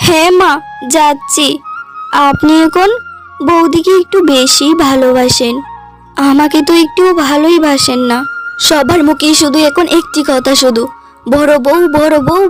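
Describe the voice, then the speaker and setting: Woman playing jealous young daughter-in-law, very high voice, complaining, dramatic, cartoon, faint music behind. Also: unhurried. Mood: resentful. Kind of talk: cartoon dialogue.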